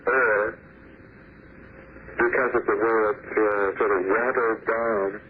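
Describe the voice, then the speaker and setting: Low-voiced man on a very thin phone line. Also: fairly even intonation, background noise. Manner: halting, hesitant phrasing. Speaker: interviewee, television producer and writer. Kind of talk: informal interview recollection. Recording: thin tape played down payphone line.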